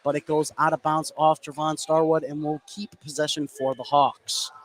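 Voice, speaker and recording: A man's voice: fairly high pitch, little pitch movement, moderate pace. An American man giving a running, descriptive live radio play-by-play of a basketball game. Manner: running, descriptive call of the action. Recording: loud gym noise behind live broadcast. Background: noisy indoor basketball gym.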